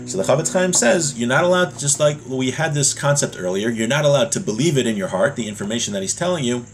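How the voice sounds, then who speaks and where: A man with a medium-pitched voice teaches a religious text. He reads out short phrases from the source, then translates and restates each one in plain, explanatory language. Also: expressive, fast, a little hiss or hum. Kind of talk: religious text lesson, reading Hebrew aloud and explaining it in English.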